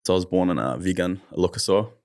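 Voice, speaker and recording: A man's voice: low-pitched, fast talker, a little mellow. A man in his thirties with a New Zealand accent, a podcast guest chatting casually, laid-back and swearing freely. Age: 30s.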